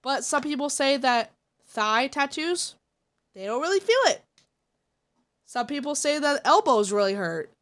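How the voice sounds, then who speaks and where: A woman's voice: sits high, lively intonation, measured pace, pauses often, fairly bright tone. A congested woman streamer chatting casually and giving blunt, opinionated takes. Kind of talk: casual live-stream chat.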